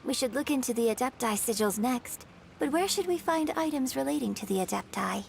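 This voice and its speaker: A woman's voice: high-pitched, animated, a little fast, creaky, slight background noise. An actress playing a polite, composed young woman adventurer in a video game. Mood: courteous.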